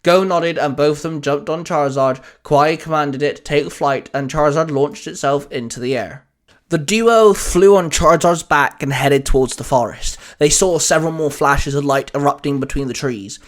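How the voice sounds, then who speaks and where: Higher-pitched man reading a story. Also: normal speed. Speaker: storyteller, fan fiction reader. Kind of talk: scripted story narration.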